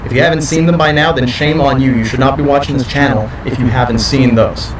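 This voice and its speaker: A man's voice: mid-range voice, fairly even intonation, quick pace, recorded from a distance, crowd noise, low-quality recording. A male professional wrestler giving confident, boastful interview answers. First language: not French.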